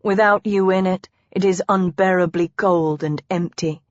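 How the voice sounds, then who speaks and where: A woman's voice: lowish voice, fairly even intonation, moderate pace, no room sound, natural voice. A woman voicing a tender, teasing husband in a historical romance audiobook, with formal old-fashioned phrasing. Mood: earnest. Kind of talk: audiobook character dialogue. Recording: dry, slightly dull close recording.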